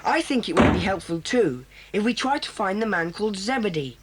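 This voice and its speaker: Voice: medium pitch, animated, a little fast, very noisy, natural voice. A performer voicing a solemn, bossy boy who leads a children's club, speaking in an earnest, formal, commanding way in a 1980s children's audio drama.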